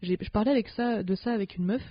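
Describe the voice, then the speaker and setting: A woman's voice: mid-range voice, fast, no echo. Slangy woman, dull dry podcast audio. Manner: loose slangy talk, many fillers and restarts. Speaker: podcast co-host. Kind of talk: casual chat.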